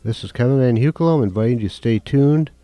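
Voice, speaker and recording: A male radio announcer reading a formal scripted introduction to a live church broadcast in a smooth, practiced way. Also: medium pitch, fast talker, dark tone, no echo.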